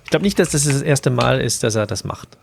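Creaky-voiced man chatting casually. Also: mid-range voice, lively intonation, a little fast, slight background noise. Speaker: podcast participant who made the sound effects. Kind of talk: casual explanatory chat.

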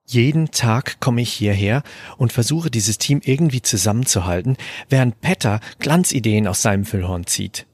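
A man's voice: mid-range voice, normal speed, husky, dry recording, lightly acted. A man acting as a stressed restaurant cook near breaking point, subdued and earnest, in a German audio drama. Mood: frustrated.

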